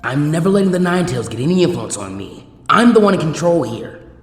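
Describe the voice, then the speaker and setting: A man's voice: a little high, very animated, a little fast, breathy edge, soft background music, pitched above the performer's own voice. A man voicing a brash, stubborn teenage boy ninja in a story, whiny and indignant. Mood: defiant.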